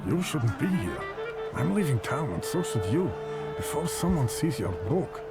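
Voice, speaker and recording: A man's voice: fairly high pitch, sing-song, normal speed, over loud music. An actor playing a worried, kindly man in a video game, urgent and cautioning. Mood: anxious warning. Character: worried, weary, kindly man of a troubled neighborhood. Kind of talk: dramatic video game acting.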